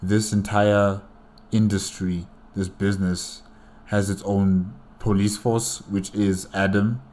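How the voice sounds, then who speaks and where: A man giving casual, off-the-cuff commentary as a reaction host, in a reflective, halting manner. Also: lowish voice, flat delivery, darkish tone, a little hiss or hum.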